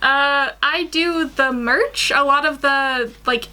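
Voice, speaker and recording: A woman's voice: high voice, slow pace, sharp tone. A young woman co-hosting in casual, informal chat, giggly in manner. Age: young adult. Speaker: co-host, guest illustrator. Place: small indoor room at home.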